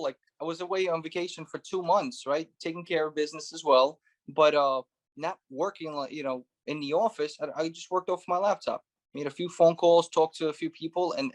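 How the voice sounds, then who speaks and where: A man in his thirties, born in Russia, telling his life story as a guest in a casual interview, with lots of "you know" and "right". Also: on the high side. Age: 30s. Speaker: guest, former police officer turned real estate investor.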